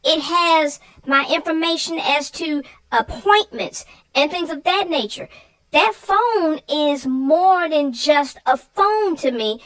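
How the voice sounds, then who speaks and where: High-voiced expressive woman co-host. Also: poor audio. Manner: expressive, emphatic, quick to laugh. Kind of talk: casual chat.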